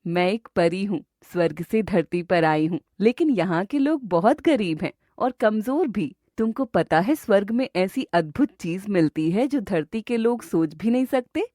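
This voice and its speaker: A woman's voice: medium pitch, lively intonation, moderate pace, few pauses, dark tone, no room sound, studio quality, lightly acted. Woman playing a sly, coaxing cartoon fairy. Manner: coaxing, composed. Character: sly fairy sweetly luring forest animals. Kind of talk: Hindi animated moral story acting.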